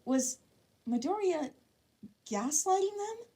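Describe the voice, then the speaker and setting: A woman narrating a fan-fiction story in an expressive reading style. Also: very high-pitched, takes it slowly.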